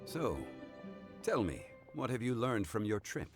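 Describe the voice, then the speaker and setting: Deep-voiced, composed gentleman scholar. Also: very animated. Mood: thoughtful. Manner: formal, measured, polite. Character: composed, courteous, learned gentleman, calm and wise. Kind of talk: dramatic game voice acting. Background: music.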